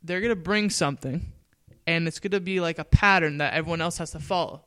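A man's voice: on the high side, fairly quick, no echo. Joking young man, dry close voice. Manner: joking, animated storyteller with lots of "right?". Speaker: podcast co-host. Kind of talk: casual chat and storytelling.

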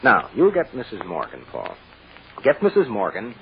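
A man playing a cool, wry, confident defense lawyer with a brisk, commanding manner, in 1940s radio drama style. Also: lowish voice, huge pitch swings, vocal fry, full character voice. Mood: urgent, commanding. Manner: brisk, dry, teasing, commanding. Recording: dull, noisy old-time radio recording.

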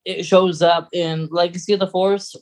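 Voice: medium pitch, level tone, moderate pace. A podcast co-host explaining things in a casual, conversational style.

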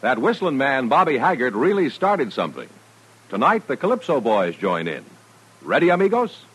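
A man's voice: medium pitch, wildly swooping pitch, normal speed, a bit bright, rough recording. A man announcing a 1950s radio commercial with polished, emphatic salesmanship. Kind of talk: 1950s radio advertising read. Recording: vintage radio broadcast recording.

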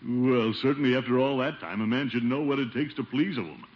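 An actor playing a pompous, excitable middle-aged American bachelor in a theatrical, exclamatory old radio sitcom style. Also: male voice, mid-range voice, quick pace, close to an everyday voice. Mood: exasperated. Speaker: lead comic actor. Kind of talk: 1940s-50s radio sitcom acting. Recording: dull, hissy old radio recording.

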